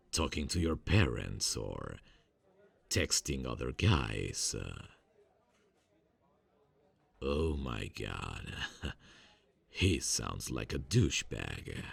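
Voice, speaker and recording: A young man playing a friendly male college student who is secretly a serial killer, speaking softly and hesitantly in an ASMR roleplay, with many fillers and quiet insinuations. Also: very deep voice, gravelly, husky, heavily acted.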